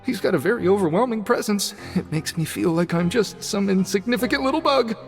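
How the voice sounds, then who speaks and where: High-voiced, timid, stammering young man. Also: lively intonation, fairly quick, gravelly, soft background music. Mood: nervous. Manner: nervous, stammering, hesitant. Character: timid, anxious, self-doubting young male worker. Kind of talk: video game voice acting.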